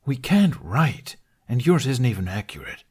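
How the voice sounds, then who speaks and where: A man voicing a sardonic, protective male dragon who argues in a deadpan, exasperated way. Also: medium pitch, moderate pace, breathy edge, voice pushed up. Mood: dry, threatening. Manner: deadpan, argumentative.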